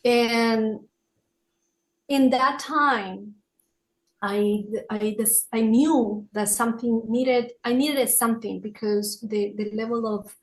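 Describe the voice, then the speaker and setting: Higher-voiced Peruvian woman, halting phrasing. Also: steady tone, rough recording. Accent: Peruvian Spanish. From Peru, raised in Lima. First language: Spanish. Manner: searching for words, halting phrasing. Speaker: podcast guest, author and wellness consultant. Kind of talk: reflective interview answers.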